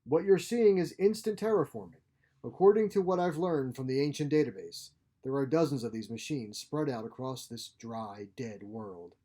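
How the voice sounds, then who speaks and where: A man's voice: medium pitch, normal speed, dark tone, lightly acted. Man voicing a courteous, proud grandfatherly scientist. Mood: proud, enthusiastic. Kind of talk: storybook character voice.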